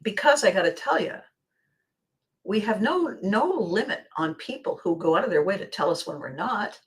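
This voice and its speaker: A woman with a slightly hoarse, scratchy voice hosting a casual motivational monologue, speaking expressively and with emphasis to her viewers. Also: medium pitch. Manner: expressive, acting out bits of dialogue. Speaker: vlog host.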